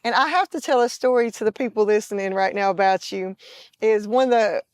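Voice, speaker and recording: A woman's voice: mid-range voice, fairly quick, no room sound. Chatty woman host, dry close sound. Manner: chatty, laughs often, many restarts. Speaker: podcast host. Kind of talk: casual interview chat.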